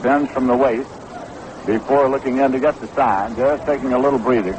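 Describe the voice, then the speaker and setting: A 1960s radio broadcast with clear noise and outdoor ballpark ambience carries an American man's voice. He calls baseball play-by-play in a measured, descriptive way, at an average male pitch. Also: steady tone, highs cut off. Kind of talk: 1960s radio sports commentary.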